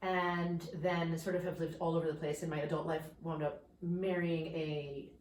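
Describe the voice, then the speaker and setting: Candid woman, lower voice, off-mic in a roomy space. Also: normal speed, recorded from a distance. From New York suburbs. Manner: candid, reflective, animated at times. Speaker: guest, life coach. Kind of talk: personal storytelling in interview. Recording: echoey room, a bit off-mic, faint noise.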